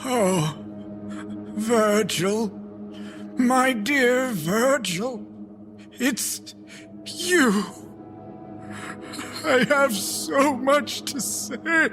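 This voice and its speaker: A man's voice: very high voice, lively intonation, heavily acted. Old man, emotional, flustered, dragging pace, music behind, radio play style. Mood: grieving, yearning. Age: older. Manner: halting, emotional, slow speech. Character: elderly, wistful, flustered grandfather and inventor. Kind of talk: audio drama acting.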